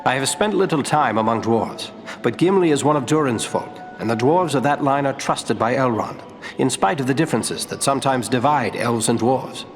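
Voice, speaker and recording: A man's voice: mid-range voice, expressive, lightly acted. Noble ranger in a reverberant hall, played by a man. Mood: thoughtful. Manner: formal, measured, sincere. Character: noble, courteous ranger, heir to a throne. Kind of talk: fantasy game dialogue acting. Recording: long hall reverb, faint music.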